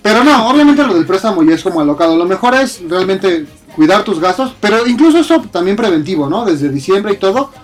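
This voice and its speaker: A man's voice: high-pitched, big pitch swings, fairly quick, few pauses, soft background music. A young Mexican man co-hosting a casual talk show from a friend's house, joking and swearing in heavy slang. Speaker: podcast co-host. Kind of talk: casual crude banter.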